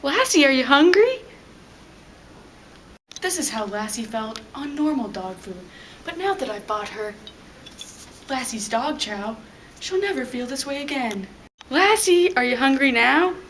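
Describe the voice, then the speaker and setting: High, sharp young woman, slightly distant. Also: sing-song, a little slow, pauses often. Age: young adult. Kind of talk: acted dog food commercial. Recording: off-mic with faint background noise.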